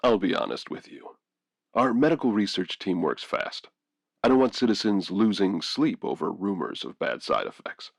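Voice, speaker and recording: An actor playing a guarded male CEO giving measured, reassuring corporate spin in a TV interview. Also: deep voice, very animated, creaky, no echo, studio quality, full character voice. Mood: assured.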